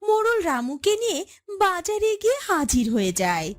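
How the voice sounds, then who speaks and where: A woman's voice: very high-pitched, wildly swooping pitch, normal speed. A woman giving scripted narration for a moral-story cartoon. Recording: loud music bed behind the voice.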